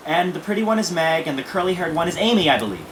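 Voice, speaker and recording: A man's voice: a little high, sing-song, quick pace, a bit bright, a little hiss or hum, low-quality recording. A man acting a polite, lonely teenage boy. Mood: shy, wistful. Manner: hesitant, courteous. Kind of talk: audio play acting.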